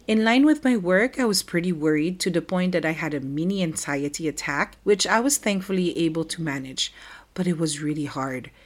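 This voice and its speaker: A middle-aged woman hosting a podcast, telling a personal story in a candid, wry, conversational way, her voice still slightly rough after the flu. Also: fairly low pitch, a little fast. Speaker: podcast host and life coach. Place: home.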